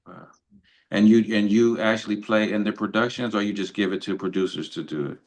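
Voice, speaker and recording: Guy cohost asking questions, lowish voice, polite and low-key. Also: fairly quick. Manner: polite, understated. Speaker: co-host. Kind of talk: casual interview questioning.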